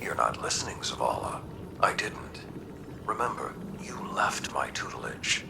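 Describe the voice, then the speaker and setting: A man playing a grave, battle-worn mentor who talks bluntly in measured, hushed tones in a video game. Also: a bass voice, sing-song, gravelly, noisy background, exaggerated voice. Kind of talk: scripted game dialogue.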